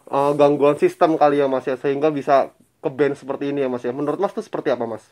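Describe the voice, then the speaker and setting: A man's voice: medium pitch, steady tone, quick pace, a little mellow. A young man asking questions in a polite, probing way, posing as a phone interviewer for a prank.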